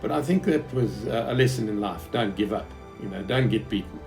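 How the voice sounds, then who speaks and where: Man, 70s, Southern African accent, low and soft-edged tone, reflective halting storyteller, faint music underneath. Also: animated, vocal fry. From Zimbabwe. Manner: hesitant, reflective, halting phrasing. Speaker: businessman recounting his life. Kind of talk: unscripted autobiographical storytelling to an interviewer.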